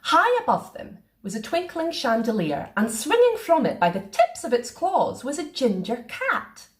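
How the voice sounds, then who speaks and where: A woman reading a children's picture book aloud in a playful, expressive way. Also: high voice, normal speed. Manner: expressive, sing-song. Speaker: storyteller.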